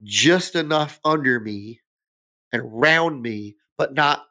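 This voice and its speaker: A man hosting a relaxed podcast conversation. His mid-pitched voice sounds bright and tense. He thinks out loud, searching for the right words as he goes. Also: takes it slowly, low-quality recording. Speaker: podcast host. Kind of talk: casual podcast chat.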